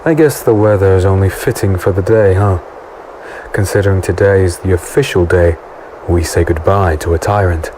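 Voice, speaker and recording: A man's voice: sits low, normal speed, warm tone, a little hiss or hum, close to an everyday voice, rough recording. A man playing an easygoing, teasing swordsman hero in an audio drama, speaking and narrating in a wry, conversational way. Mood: wry, relaxed. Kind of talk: audio drama dialogue and first-person narration. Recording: music bed behind the voice.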